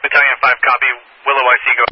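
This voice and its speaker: Fast-talking male radio dispatcher. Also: medium pitch, monotone, muffled recording. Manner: clipped, matter-of-fact, repeats details back. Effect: two-way radio. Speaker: fire department radio dispatcher. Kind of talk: procedural emergency radio dispatch. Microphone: radio dispatch channel. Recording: noisy band-limited scanner radio, clicks.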